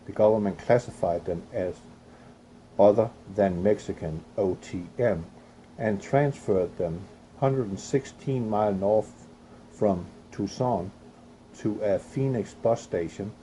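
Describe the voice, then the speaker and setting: European guy reading news out loud, stumbles and restarts, low mellow voice. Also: slight background noise, poor audio. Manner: halting reading with restarts and coughs. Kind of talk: reading news aloud with opinionated commentary.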